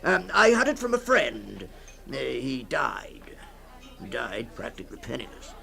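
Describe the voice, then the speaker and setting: A very gravelly, creaky old man in an audio drama, telling his tale slowly. He hems, haws and doubles back on his words, with lively swings of pitch, a rambling raconteur who likes a drink. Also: heavily acted. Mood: musing, emphatic. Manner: hemming and hawing, repeats himself. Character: rambling, hesitant old storyteller fond of a drink. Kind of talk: dramatised short story.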